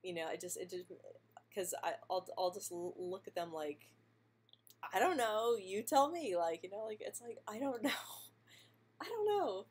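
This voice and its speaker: A young woman who stutters, answering viewer questions in a casual live stream, speaking softly with frequent repeated sounds and light laughs. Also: medium pitch, animated, moderate pace, breathy edge. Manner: soft-spoken, laughs lightly between answers.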